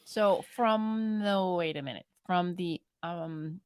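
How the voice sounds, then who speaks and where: Woman, podcast co-host, low-pitched, skeptical and questioning, dry recording. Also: slow pace, clean tone, no echo. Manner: skeptical, questioning, playful pushback. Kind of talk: casual chat.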